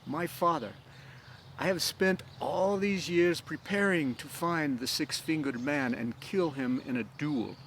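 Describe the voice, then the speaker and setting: A man's voice: a little high, measured pace, a bit bright, a little hiss or hum. A man voicing an earnest, driven young swordsman in a storybook reading, eager and rambling. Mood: solemn, determined. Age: young adult. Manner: eager, rambling, counting aloud. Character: earnest, driven young swordsman bent on avenging his father.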